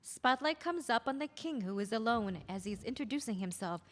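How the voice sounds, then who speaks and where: A woman's voice: a little high, lively intonation, moderate pace, fairly bright tone. A woman narrating stage directions in a scripted reading of a play.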